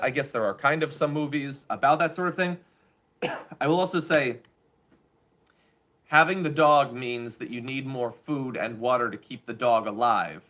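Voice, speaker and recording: A male show host delivering opinionated news commentary in a blunt, sarcastic way. His voice sits at an ordinary middle pitch for a man. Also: a bit bright.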